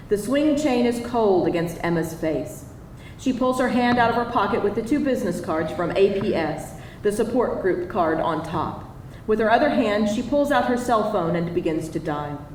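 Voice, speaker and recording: A woman's voice: mid-range voice, animated, moderate pace, darkish tone. Woman narrator reading screenplay, roomy and distant. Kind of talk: reading screenplay stage directions aloud. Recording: echoey live hall, distant, faint noise.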